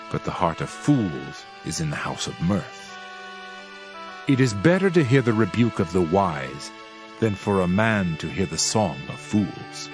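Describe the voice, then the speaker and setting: A man narrating a dramatized scripture reading in a solemn, measured way with archaic phrasing. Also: lowish voice.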